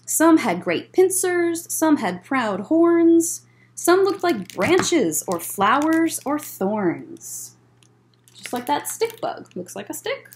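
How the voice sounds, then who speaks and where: Female story time reader, fairly high voice, lively intonation, unhurried, clear explaining manner for kids. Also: a little hiss or hum. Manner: expressive, deliberate, explains to young listeners. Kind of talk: read-aloud picture book storytelling with asides. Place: indoors, library room with bookshelves.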